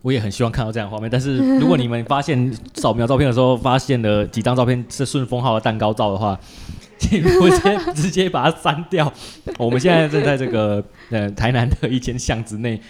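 A man's voice: mid-range voice, expressive, brisk, a little mellow. A man with a Taiwanese accent hosts a casual chat, often repeating short words in agreeing bursts. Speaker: podcast host. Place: small partitioned dessert cafe in an alley.

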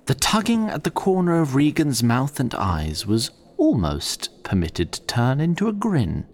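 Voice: mid-range voice, wildly swooping pitch, unhurried. A narrator and announcer reading scripted narration and credits for an audio drama. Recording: music under the voice.

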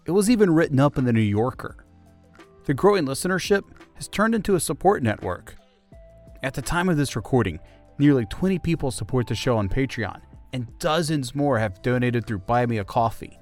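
A man's voice: mid-range voice, lively intonation, a little fast, a little mellow, faint music behind. A man hosting an interview podcast, speaking in a thoughtful, explanatory conversational way. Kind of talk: conversational interview with narrated segments.

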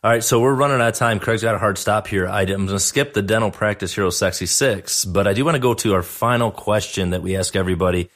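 A man in his thirties with a low voice who talks quickly. He hosts in an upbeat, casual way, moving from one question to the next. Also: a bit bright. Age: 30s. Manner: upbeat, asks questions, says "man" and "dude". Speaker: podcast host. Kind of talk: casual podcast interview.

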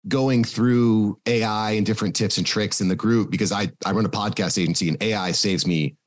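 A man who runs a podcast agency, moderating a casual live chat and telling stories in a relaxed way. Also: lowish voice, a little fast. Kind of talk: casual conversation.

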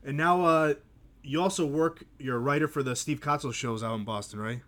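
A male podcast host asks questions in a casual, friendly way. He talks quickly and keeps the conversation moving. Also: on the high side, fairly bright tone. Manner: friendly, interested, quick affirmations. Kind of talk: casual interview.

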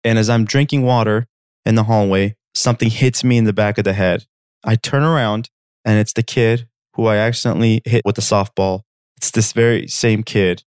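A man's voice: lowish voice, darkish tone, clear, resonant tone, no echo. A man in his thirties from Armenia, a podcast host telling a personal story in an earnest, direct way and checking in with listeners. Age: 30s.

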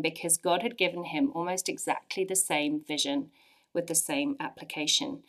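An English-accented woman in her forties gives a personal testimony to a church audience. Her voice sits a little low, and her storytelling is earnest, with lively bursts of emphasis. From England. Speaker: church speaker sharing testimony.

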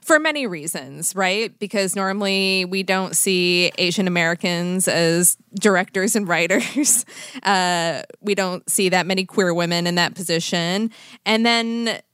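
A woman hosting an interview, asking measured questions to set up her guest's story. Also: on the low side, a bit bright, smooth, no room sound, studio quality. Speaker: interview host.

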